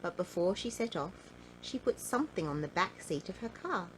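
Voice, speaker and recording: A woman reading a children's storybook in an animated, playful way, drawing out key words for emphasis. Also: lowish voice, normal speed, slight background noise, rough recording. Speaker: storybook reader.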